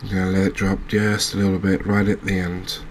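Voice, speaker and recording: A man giving quiet, unscripted ASMR-style game commentary, unhurried and thinking aloud with pauses. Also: sits low, monotone, a little hiss or hum. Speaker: gaming video host.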